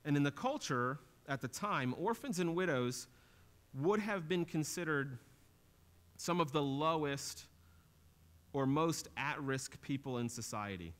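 A man's voice: on the high side, expressive, unhurried, fairly bright tone. Man preaching an earnest sermon. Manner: earnest teaching tone, rising for emphasis. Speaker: pastor. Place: small church stage with drum kit.

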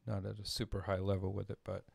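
A middle-aged man giving a casual coding demo as a tutorial presenter, halting and thinking aloud as he works. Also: low voice, completely flat delivery, creaky, darkish tone, slightly rough, no room sound. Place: small home room, indoors.

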